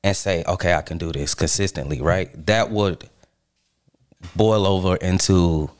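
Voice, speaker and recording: Very deep-voiced man, earnest guest. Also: a little mellow, clean tone. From Charlotte, North Carolina, born in New York. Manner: earnest, deliberate, checks in with "right?". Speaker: guest musician. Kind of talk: casual conversation.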